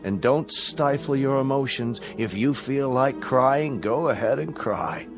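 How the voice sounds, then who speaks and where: A man's voice: mid-range voice, measured pace, music in the background, close to an everyday voice. Coldly smug, menacing state chancellor played by a man. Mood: mocking. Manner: formal, condescending, mockingly polite, menacing. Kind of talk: radio drama acting.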